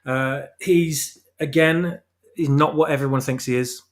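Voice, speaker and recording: A man speaking as an author being interviewed, explaining casually in a halting but emphatic way, repeating phrases for stress. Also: on the high side, steady tone. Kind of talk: casual explanatory chat about own story.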